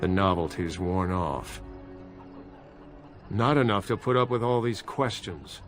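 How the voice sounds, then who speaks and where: A man's voice: low-pitched, lively intonation, a little slow, soft background music. An actor playing a weary, self-serving poor man in a video game, with a drawling, grudging manner.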